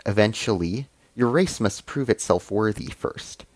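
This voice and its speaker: A man's voice: lowish voice, animated, measured pace, no echo. A man voicing a haughty alien female ambassador in a story, explaining and commanding with cold menace.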